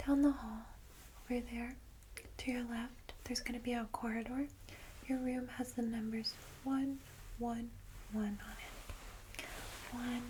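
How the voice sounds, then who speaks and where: Caring vampire guide, played by a woman: female, whispered ASMR, gentle, reassuring, little pitch movement, noticeably noisy close-mic recording. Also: lightly acted. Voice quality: soft, breathy whisper. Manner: gentle, hesitant, reassuring, informal.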